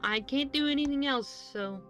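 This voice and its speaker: A woman's voice: high-pitched, moderate pace. A woman livestreamer giving casual, joking reaction commentary while she plays a game. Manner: informal, joking, interjecting. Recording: stream voice over loud game music.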